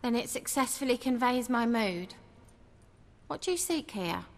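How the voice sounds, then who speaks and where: High-voiced, earnest elf performer. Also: female voice, animated, measured pace, a little hiss or hum. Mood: gloomy, wary. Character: melancholy, earnest female elven street performer. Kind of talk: video game character acting.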